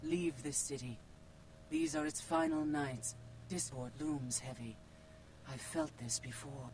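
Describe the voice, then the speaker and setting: A woman's voice: low-pitched, expressive, takes it slowly, exaggerated voice. A woman voicing an ancient, cryptic female vampire in a video game, delivering solemn, ominous warnings. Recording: game audio with humming noise.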